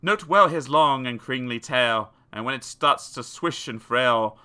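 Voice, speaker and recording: A man narrating children's comic poems in a theatrical, expressive storytelling style. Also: mid-range voice, unhurried, clean tone. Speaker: audiobook narrator. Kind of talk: dramatic children's poetry reading.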